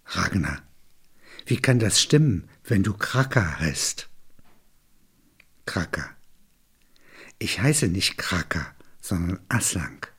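An actor playing a proud saga character of royal, divine descent in a radio drama, husky and halting. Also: male voice, low voice, very animated, a bit bright, full character voice. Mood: solemn, intense. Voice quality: husky, breathy.

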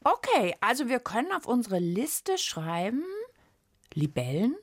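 Lively, husky woman presenter. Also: fairly high pitch, unhurried, no room sound, studio quality. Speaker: host of a children's science podcast. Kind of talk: playful conversational presenting for children.

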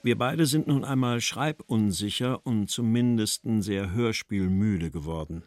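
A man's voice: lowish voice, a little slow, darkish tone. A man reciting literary texts from a script. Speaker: reader of poems and letters.

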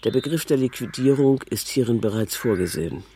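A man reading literary prose as a measured narrator. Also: medium pitch, animated, warm tone, a little hiss or hum. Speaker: reader of a literary text.

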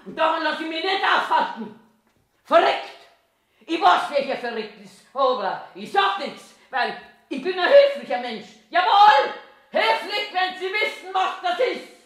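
Slow, cranky old Bavarian toilet attendant. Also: female voice, extremely high pitch, very animated, fairly bright tone, full character voice. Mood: indignant, defiant. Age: 80s. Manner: self-talking, querulous, emphatic. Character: cranky, eccentric old female toilet attendant, righteous and indignant. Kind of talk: theatrical dialect monologue. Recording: echoey room sound.

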